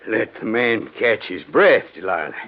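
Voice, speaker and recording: A man's voice: fairly low pitch, very animated, moderate pace. An actor playing a proud, irascible, fat older Southern rich man in a 1950s radio drama, folksy and commanding, with an American Southern accent. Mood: businesslike, folksy. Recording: muffled vintage radio drama recording.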